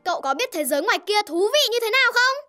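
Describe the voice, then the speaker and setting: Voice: very high voice, big pitch swings, very fast. An actor voicing a cocky, street-smart young stray cat in a cartoon, talking in a teasing, bossy, confident way. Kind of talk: animated cartoon dubbing. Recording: faint music behind the voice.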